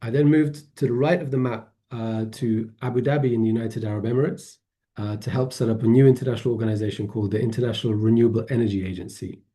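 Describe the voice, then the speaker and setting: A man gives an online presentation over a video call. His voice is mellow and dark. He hesitates a lot and restarts his sentences as he goes. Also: mid-range voice, steady tone, quick pace. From Poland, grew up in England. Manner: hesitant, many fillers and restarts. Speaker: webinar presenter.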